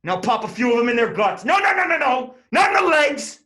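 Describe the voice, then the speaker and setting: An actor playing a vulgar, brutal riot police officer who barks orders and taunts. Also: male voice, high voice, sing-song, moderate pace, fairly bright tone. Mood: barking.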